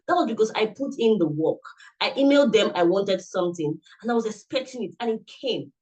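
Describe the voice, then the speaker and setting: A man's voice: sits high, expressive, a little fast, darkish tone, low-quality recording. A man giving a motivational talk as a presenter at an online summit, earnest and coaching, often repeating himself, speaking into a computer mic on a video call. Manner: earnest, repetitive, coaching directly.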